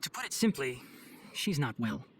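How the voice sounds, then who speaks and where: A man's voice: medium pitch, sing-song, moderate pace, a little hiss or hum, close to an everyday voice. A man playing a young forest ranger master in a video game, frank and caring, with a wry, matter-of-fact manner. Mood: frank, concerned. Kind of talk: video game cutscene voice acting.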